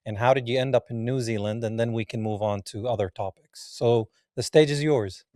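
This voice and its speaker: A man's voice: medium pitch, a little fast, warm tone, no echo, studio quality. A man hosting a podcast, asking a guest curious, inviting questions. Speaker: podcast host. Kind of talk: interview questions.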